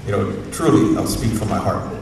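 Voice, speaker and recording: A university professor from Hawaii with a Hawaiian accent, giving a rambling, informal, unscripted talk at a community forum and often chuckling. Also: male voice, on the low side, moderate pace, gravelly. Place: museum auditorium. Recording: echoey hall, distant mic, faint noise.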